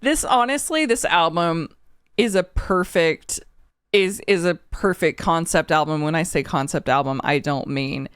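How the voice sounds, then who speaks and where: A woman's voice: low-pitched, measured pace, smooth, no echo, studio quality. A woman with a sniffly cold, reviewing an album in a candid, emotional, casual way and swearing now and then. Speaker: music reaction channel host.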